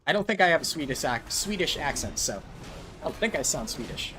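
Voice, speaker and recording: A man's voice: on the high side, sing-song, a little fast, sharp tone, a little hiss or hum. A man live-streaming a game, chatting with viewers in a casual way with lots of ums and likes. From United States. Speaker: livestreaming gamer.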